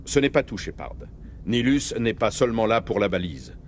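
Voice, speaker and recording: A man's voice: lowish voice, very animated, moderate pace, slight background noise. A man playing a commanding military captain in a French video game, formal and resolute. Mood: serious, explaining. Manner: firm, formal, authoritative.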